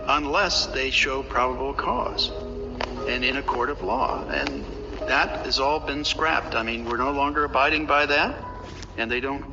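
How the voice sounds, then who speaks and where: Halting man in long reverb over music. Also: mid-range voice. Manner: halting, emphatic repetition. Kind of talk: political commentary. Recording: dull, reverberant clip with music.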